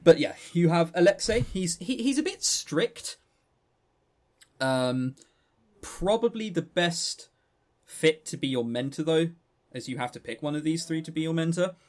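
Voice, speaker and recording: A man's voice: on the high side, lively intonation, measured pace, darkish tone. A man with an English accent co-hosting a podcast, reviewing casually in a rambling way and often stammering over repeated words. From England. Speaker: podcast co-host.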